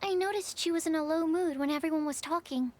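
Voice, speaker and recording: A woman voicing a shy, earnest young alchemist in a video game, speaking softly and thoughtfully. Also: very high-pitched, fast talker, slight background noise. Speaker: alchemist researcher.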